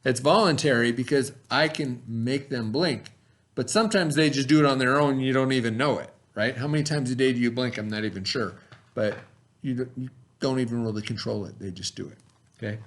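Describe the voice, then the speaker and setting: A man teaching by reading aloud to pupils, pausing to explain in casual asides and check that they follow. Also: medium pitch. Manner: pauses to explain, checks in with "okay?".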